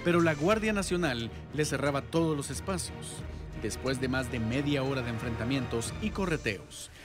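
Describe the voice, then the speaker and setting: A man reading a scripted news report in a steady, formal manner. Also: mid-range voice.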